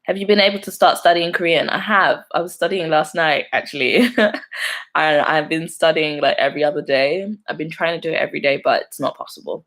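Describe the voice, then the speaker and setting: Young london woman rambling on her livestream, low voice, kinda gravelly, laughs a lot. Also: a little fast, fairly bright tone. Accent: London English. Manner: chatty, laughs often, self-deprecating. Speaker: YouTuber hosting a live stream. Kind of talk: casual live-stream chat reading comments.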